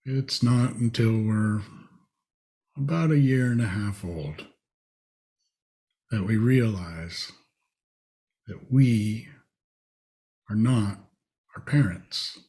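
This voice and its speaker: A man leading a guided meditation, speaking in short, spacious phrases with long pauses. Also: on the low side, dark tone.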